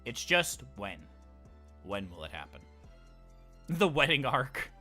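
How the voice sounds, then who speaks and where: A man's voice: mid-range voice, sing-song, slow pace, pushed and bright, soft background music. A man hosting a casual livestream, chatting in an informal, rambling, jokey way. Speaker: livestream host.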